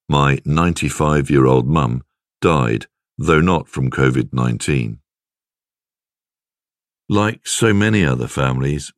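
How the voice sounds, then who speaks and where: A man reading a scripted devotional in a measured, clearly phrased way. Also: rumbling low, dry recording, studio quality. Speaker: devotional reader.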